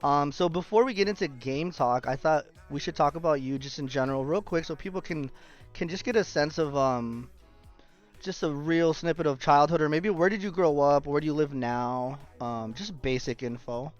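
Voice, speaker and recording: Relaxed man hosting a stream podcast. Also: a little high, normal speed, highs cut off, faint music behind. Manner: relaxed, friendly, clears throat often. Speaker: podcast host. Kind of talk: casual interview hosting.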